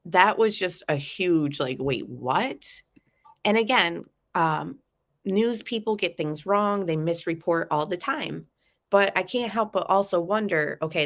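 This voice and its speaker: A woman's voice: on the low side, a bit bright. A woman with a stuffy, nasal voice from a cold, chatting casually with her viewers as she talks through a true crime case. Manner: chatty, addresses viewers as guys, fillers like um. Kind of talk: casual live chat commentary.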